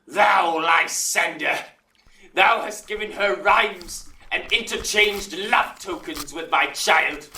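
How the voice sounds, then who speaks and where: A man's voice: fairly high pitch, sharp tone, slight background noise, exaggerated voice. An actor playing an angry, possessive old father in a Shakespearean audio drama, declaiming with indignation. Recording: roomy echo, distant from mic.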